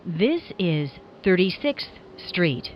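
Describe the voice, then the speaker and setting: A woman's voice: on the low side, big pitch swings, takes it slowly, a little hiss or hum. A woman reading scripted transit announcements as a train announcer, in formulaic, carefully enunciated phrases that sound spliced together.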